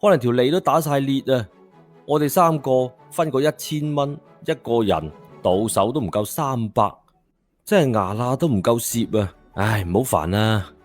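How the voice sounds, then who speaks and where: A proud young graduate, played by a man, exasperated and dismissive in his grumbling. His pitch is ordinary for a man. It is audio drama acting with quiet music underneath. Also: moderate pace, natural voice. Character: jaded, proud young smooth-talking graduate, easily exasperated.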